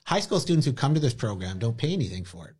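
A man with a husky, slightly breathy voice leads a relaxed interview. He rambles and makes jokey asides, and his pitch sits in the middle. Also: fast talker. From Italian family background. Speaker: interviewer, broadcast media instructor. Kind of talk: casual interview chat.

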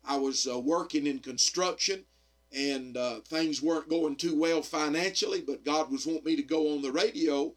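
A middle-aged man, slightly hoarse, preaching earnestly on radio with frequent amens and pauses. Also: a little high, fairly bright tone. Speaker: radio evangelist and pastor. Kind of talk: radio sermon.

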